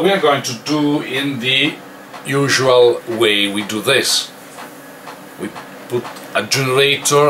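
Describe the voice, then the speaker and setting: A man who is not a native English speaker gives an informal hands-on technical tutorial, haltingly thinking aloud as he works. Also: medium pitch, noisy background. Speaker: electronics demonstrator.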